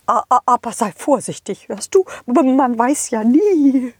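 A woman's voice: fairly high pitch, lively intonation, unhurried, slightly rough, no room sound, clean studio sound. A woman voicing an anxious, tired king in a children's audio story, stammering and fretting as he talks. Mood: weary, anxious. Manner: stammering, dragging out words, fretful.

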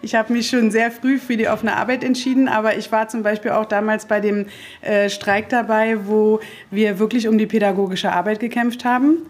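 A woman's voice: medium pitch, level tone, fairly quick, slight background noise. A woman in her fifties who runs a daycare centre, giving rambling, self-correcting answers with a wry touch. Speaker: daycare director. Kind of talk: interview answers.

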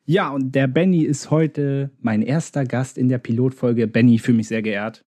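A Berlin-born man hosts a casual football podcast, talking in a loose, chatty way, restarting sentences and filling pauses as he thinks aloud. His voice is dark and mellow, and the recording sits in a noticeably echoey room. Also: mid-range voice. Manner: chatty, hesitant, many restarts and fillers. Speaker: podcast host.

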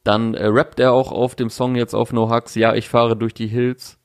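A man's voice: medium pitch, flat delivery, moderate pace, clean tone, dry recording, studio quality. A young man in casual chat, talking through a music release with fillers and restarts. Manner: thoughtful, many fillers and restarts. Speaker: podcast co-host discussing music.

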